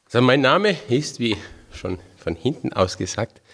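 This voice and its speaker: A man's voice: medium pitch, very animated, a little slow, creaky, a bit bright. A man in his fifties from Tyrol with a Tyrolean accent, a religious brother giving a chatty, homely spiritual talk to a group. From Tyrol, Austria. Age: 50s. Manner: homely, chatty, self-deprecating with dialect phrases.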